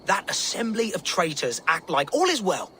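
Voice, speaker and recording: A flamboyant zealot of a man declaims in a high, theatrical voice. His pitch swoops widely as he preaches with pompous, vengeful glee, video game style, over faint background noise. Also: huge pitch swings, normal speed, fairly bright tone, heavily acted. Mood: aggrieved, vengeful. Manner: pompous, grandiose, florid declamation. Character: fanatical, flamboyant, gleefully vengeful male nudist preacher.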